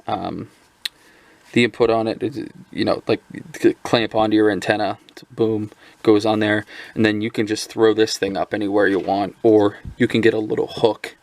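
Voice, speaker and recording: A man with a lowish, gravelly voice talks through a piece of gear in a loose, unscripted way. He restarts sentences and fills pauses with "uh" and "um" as he goes. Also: little pitch movement, darkish tone, no room sound. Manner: rambling, many fillers and restarts. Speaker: radio gear reviewer. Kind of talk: casual product review.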